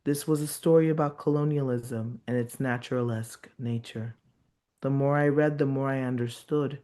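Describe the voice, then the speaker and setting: A man reading his poetry in a measured, incantatory way, as a guest on a video call. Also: mid-range voice, fairly even intonation, mellow.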